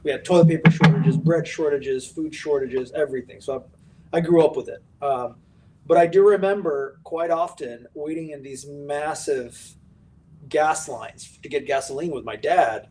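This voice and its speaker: A man's voice: a little high, moderate pace, dark tone. Bulgarian-raised man, distant on mic. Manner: storytelling, earnest. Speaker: co-host. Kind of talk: casual chat.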